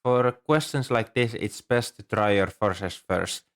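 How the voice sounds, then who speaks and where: A man plays a shy, bookish young student librarian in an ASMR roleplay. His voice is on the low side, and he talks hesitantly, with earnest helpfulness and a readiness to apologize. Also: unhurried, fairly bright tone. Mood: patient, helpful. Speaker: amateur audio roleplay performer. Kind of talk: ASMR roleplay, scripted one-sided dialogue.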